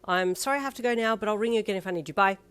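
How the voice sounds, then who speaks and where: A woman's voice: medium pitch, very fast, fairly bright tone, clear, resonant tone, voice pushed up, natural voice. A woman voicing a young heroine who gets easily flustered and exasperated. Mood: hurried.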